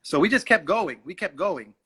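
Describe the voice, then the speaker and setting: A young man, an actor and native Urdu speaker, telling stories in a lively way during an interview. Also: high-pitched, normal speed, husky. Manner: animated, acts out voices in his anecdotes. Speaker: actor, guest. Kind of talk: casual storytelling in an interview.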